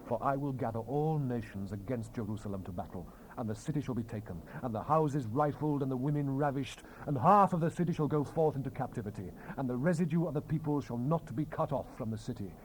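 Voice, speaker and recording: A man's voice: mid-range voice, lively intonation, brisk, hardly any pauses, a little mellow. A man giving a solemn, declamatory dramatized reading of the Bible as narrator. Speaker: scripture narrator. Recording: noisy 1970s cassette rip.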